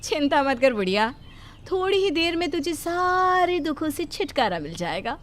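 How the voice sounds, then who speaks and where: A wicked eldest daughter-in-law in a cartoon, mocking and gloating in a shrill, loud voice, as the narrator puts her on. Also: big pitch swings, normal speed.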